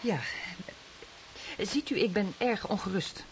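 Nervous young dutch woman, halting radio drama delivery, medium pitch, bit of hiss. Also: low-quality recording. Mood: anxious. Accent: Dutch, from the Netherlands. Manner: halting, repeats words anxiously. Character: anxious, hesitant young woman, florist in her twenties.

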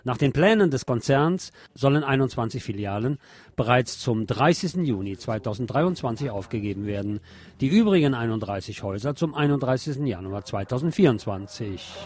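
A man's voice: medium pitch, lively intonation, a little fast, darkish tone. A man hosting a radio news programme, reading reports and adding opinionated, sardonic commentary of his own.

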